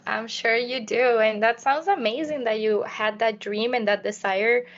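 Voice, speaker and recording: A woman's voice: on the high side, moderate pace, highs cut off. A woman hosting a podcast in an enthusiastic, effusive, conversational way. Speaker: podcast host. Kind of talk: conversational podcast presenting and interviewing.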